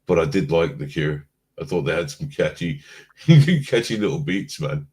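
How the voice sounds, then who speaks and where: A man co-hosting a casual live-stream chat, drowsy from being stoned, rambling and trailing off in a mellow way. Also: rumbling low, animated, normal speed. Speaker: podcast co-host.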